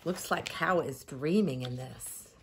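A middle-aged woman, a preschool teacher, reading a picture book aloud to young children with expressive, deliberate storytelling. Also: sits low, slight background noise. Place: living room at home.

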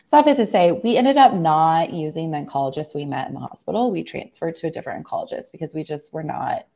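A middle-aged American woman, hoarse from laryngitis, telling a personal story as a guest in a casual video-call interview. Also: low-pitched, expressive, fast, warm tone, highs cut off. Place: room at home, on a video call.

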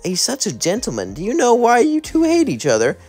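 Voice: medium pitch, wildly swooping pitch, a little fast, darkish tone, husky, faint music behind, voice pushed up, full character voice. Man voicing a chatty, coaxing lady mayor. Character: friendly, scheming female cartoon town mayor.

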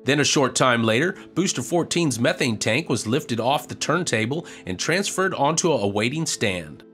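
A man reading a scripted news narration in an even, measured reporting tone as a voice-over. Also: mid-range voice, soft background music.

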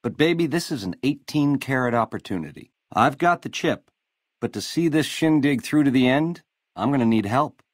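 An actor playing a slick, swaggering casino gangster, cocky and glib, in a video game full of 1950s lounge slang. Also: male voice, medium pitch, lively intonation, normal speed, dry recording, clean studio sound, lightly acted. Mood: persuasive, slick.

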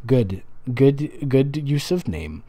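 Guy reading stuff out loud for laughs, soft warm tone, super up and down, snarky. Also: mid-range voice, sing-song, slow, slightly rough. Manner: sarcastic, mocking, dramatic asides. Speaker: host reading and mocking fan fiction. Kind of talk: casual comedic read-aloud with sarcastic commentary.

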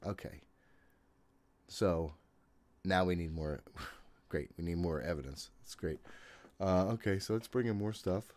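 Deep-voiced man, jittery game commentary. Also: measured pace, pauses often, darkish tone. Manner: jittery, exasperated self-talk; frequent swearing. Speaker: game streamer. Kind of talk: casual horror game commentary. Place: small home room, indoors. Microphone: desk microphone, headset worn.

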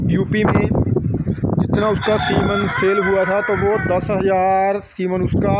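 A man with a high voice talks earnestly and promotionally in a casual farm walkthrough. He speaks in short bursts. The recording is outdoors and loud noise runs under his voice, with roosters crowing. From Punjab, India. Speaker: dairy farm owner showing cattle. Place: outdoor cattle pen on a farm.

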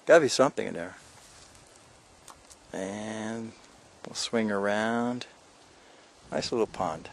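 A gravelly, lowish-voiced older man talks casually as he hikes a windy mountain trail, rambling with fillers and little jokes. It is recorded outdoors on a handheld camera with a light hiss of background noise. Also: slow pace, long pauses. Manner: rambling, joking, many fillers. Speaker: hiker filming a vlog. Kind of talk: casual narration while hiking.

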